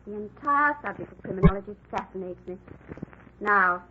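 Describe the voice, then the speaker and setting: Actress as a haughty, efficient lady amateur sleuth, lively inflection, noisy vintage radio sound. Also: mid-range voice, moderate pace, close to an everyday voice. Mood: brisk. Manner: crisp and efficient, slightly haughty. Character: brisk, self-assured young Englishwoman sleuth. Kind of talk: 1940s radio acting. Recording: noisy lo-fi old radio recording.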